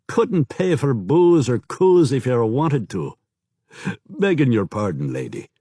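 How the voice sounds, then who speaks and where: A man voicing a rough-spoken veteran soldier scout who talks in coarse slangy dialect and keeps deferring to his officers. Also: medium pitch, very animated, slow, mellow, no room sound, studio quality, voice pushed up, full character voice. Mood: gruff report.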